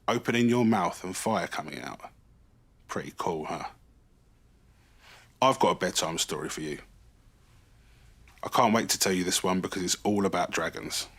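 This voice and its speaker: A man reading a children's bedtime story in an expressive, animated way, stretching key words for emphasis. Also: deep voice, husky.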